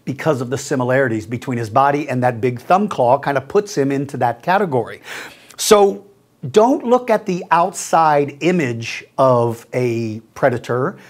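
A man in his fifties with a gruff, slightly rough voice, answering viewer questions about dinosaurs to camera in a small studio, friendly and expressive. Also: medium pitch, moderate pace. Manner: friendly, expressive, gestures while talking. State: gruff, rough voice after speaking at a school. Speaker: dinosaur educator and host. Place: small studio with mottled backdrop.

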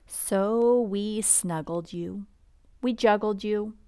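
A woman reading a bedtime picture book aloud in a gentle, expressive, unhurried storybook manner. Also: mid-range voice, no echo, clean studio sound.

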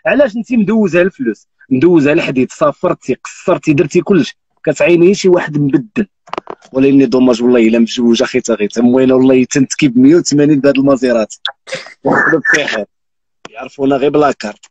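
A man's voice: medium pitch, fast, a little mellow, highs cut off, slight background noise. A Moroccan man in his thirties hosting a live stream, teasing and telling jokes with frequent oaths. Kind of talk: joking casual chat. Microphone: phone on live video call.

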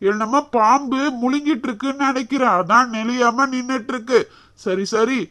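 A performer voicing a bossy, confident young village husband, brisk and repetitive, in an animated story. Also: medium pitch, close to an everyday voice. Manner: brisk, bossy, repeats himself.

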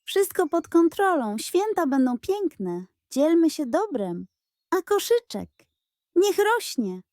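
A woman's voice: very high voice, sing-song, slow, a little mellow, dry recording, clean studio sound, pitched above the performer's own voice, full character voice. A woman voicing a greedy male pug in a children's story, pompous and unctuous, faking noble piety. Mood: smug, lofty.

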